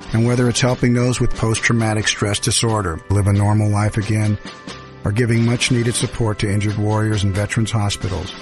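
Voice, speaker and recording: A man narrating a charity radio ad in an earnest, solemn, scripted read. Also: fairly low pitch.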